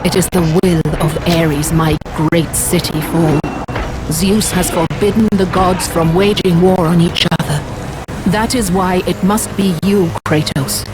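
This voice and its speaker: An actress playing a regal, solemn goddess in a video game, stately and commanding. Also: female voice, fairly low pitch, fairly even intonation, a little slow, lightly acted, low-quality recording. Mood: solemn, urging. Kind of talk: dramatic video game acting. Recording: music behind.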